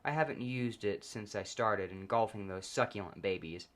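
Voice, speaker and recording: A man narrates a comedic story in the first person. His voice sits on the low side, and he keeps a deadpan delivery as he reads. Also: normal speed.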